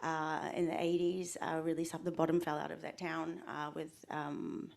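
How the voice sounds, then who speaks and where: Kiwi woman writer on a panel, lowish voice, candid and a bit self-deprecating. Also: flat delivery, normal speed, creaky, darkish tone. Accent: New Zealand. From New Zealand. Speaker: panel author. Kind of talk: panel discussion.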